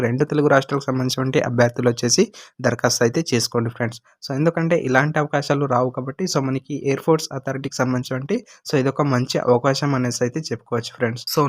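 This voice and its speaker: A man with a Telugu accent presenting job information as an online host, mixing in English terms and addressing listeners as friends. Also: medium pitch. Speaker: job-news YouTube host. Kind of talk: informational narration of a job notification.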